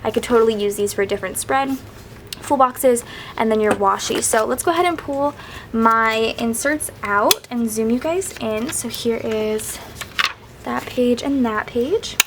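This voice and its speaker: A woman's voice: on the high side, a little slow, noisy background. A young woman chatting casually and a bit rambling while she works on her planner, sounding sniffly from crying. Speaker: planner vlogger. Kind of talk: casual craft vlog chat.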